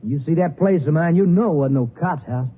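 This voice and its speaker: An American actor in a 1950s radio drama, playing a rough-spoken, protesting, suspicious small-time crook. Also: male voice, fairly high pitch, a little fast, close to an everyday voice. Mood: suspicious, prying. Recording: noisy, tinny 1950s radio recording.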